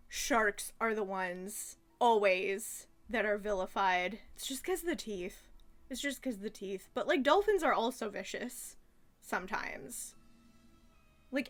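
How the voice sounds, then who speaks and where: A woman giving casual, rambling commentary as a reviewer, gushing and emphatic in an animated way. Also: mid-range voice. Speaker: reviewer reacting to a childhood cartoon.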